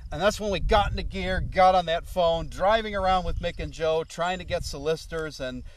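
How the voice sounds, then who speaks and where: A man's voice: a little high, normal speed. Rambling man telling old stories. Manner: rambling, lively, frequent "you know" asides. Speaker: former road manager recalling the past. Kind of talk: casual anecdotal storytelling. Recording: voice a little far from the mic.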